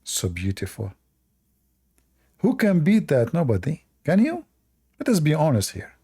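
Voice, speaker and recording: A man's voice: on the high side, huge pitch swings, measured pace, mellow, slightly rough. A man hosting a live-stream debate, talking to the chat in a sarcastic, mocking way. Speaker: livestream debate host.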